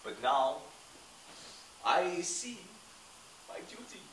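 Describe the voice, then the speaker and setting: A man's voice: fairly high pitch, sing-song, drawn-out words, a bit bright, slight background noise, heavily acted. A man playing a middle-aged con man who fakes a French accent and blackmails with oily menace, recorded far from the mic in an echoey room. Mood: menacing. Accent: fake French.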